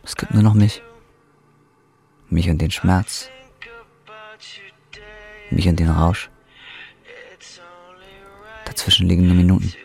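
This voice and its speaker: A man's voice: sits low, fairly even intonation, takes it slowly, gravelly, pauses often, darkish tone, soft background music, exaggerated voice. An actor playing a bitter, defiant, broke injured roofer in his twenties, in a German radio drama. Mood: dark, brooding. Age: 20s. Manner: embittered, colloquial, confrontational. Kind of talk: German radio drama acting and brooding first-person narration.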